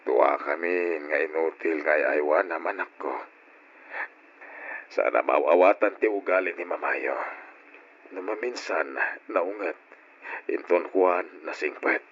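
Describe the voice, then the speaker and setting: Weary aging Ilocano father, very low voice. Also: unhurried, long pauses, husky, heavily acted. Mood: weary, sorrowful. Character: weary, worried aging father, caring for his family. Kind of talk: old radio drama acting. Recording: muffled lo-fi radio drama recording.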